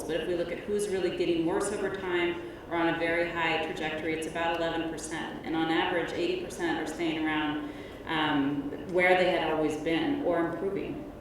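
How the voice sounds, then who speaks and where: A middle-aged woman delivers an academic keynote in a low, measured voice with plenty of ums. She projects loudly, but she sits far back from the microphone. The big hall rings with long echo and a steady layer of noise. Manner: loud, measured presenter with frequent ums. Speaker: keynote speaker, researcher. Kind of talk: academic conference lecture. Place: large conference hall. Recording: echoey hall from far off, noisy.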